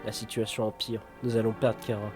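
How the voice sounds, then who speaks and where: A man's voice: lowish voice, little pitch movement, a little fast, natural voice. A man voicing a bitter, defeated young rebel in an animation fan dub, bleak and resigned. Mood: bitter resignation. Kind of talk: dramatic animation dubbing. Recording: clear music behind the voice.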